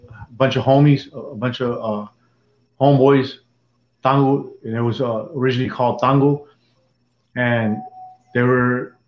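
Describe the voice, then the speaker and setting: Halting man in his sixties. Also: mid-range voice. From Dallas, Texas. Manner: halting, rambling storytelling with many restarts. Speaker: interviewee, former prison gang member. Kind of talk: personal life story in an interview. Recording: echoey room, dull lo-fi sound.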